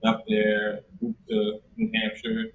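A man's voice: on the low side, takes it slowly. A young man from South Carolina, a talkative guest who laughs often and fills his speech with ums, heard over a video call. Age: young adult. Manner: chatty and animated, laughs often, many fillers. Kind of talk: conversational interview. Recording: echoey room, muffled audio, faint noise.

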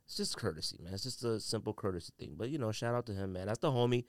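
A man's voice: on the low side, fast talker, dry recording. An American man from California hosting a podcast, chatting casually and informally with plenty of slang. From California, United States. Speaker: podcast host. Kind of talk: casual solo podcast chat about sneakers.

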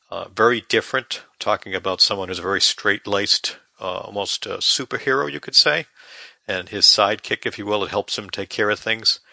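Man, deep pitch, very sharp bright tone, gravelly fry, dry close sound, informal reviewer. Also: no room sound. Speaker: podcast segment contributor. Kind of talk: informal TV show review monologue.

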